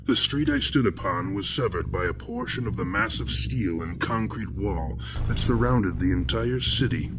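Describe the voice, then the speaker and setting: Deep-voiced male horror narrator. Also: big pitch swings, creaky, background noise. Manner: deep, measured, ornate storytelling. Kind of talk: horror audio drama narration.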